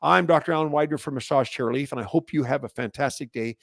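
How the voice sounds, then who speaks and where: A man's voice: mid-range voice, fast talker, creaky. A middle-aged Canadian man with a Canadian accent hosting a product industry update, talking unscripted with many fillers and restarts, and getting fired up as he rambles. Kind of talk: unscripted solo monologue.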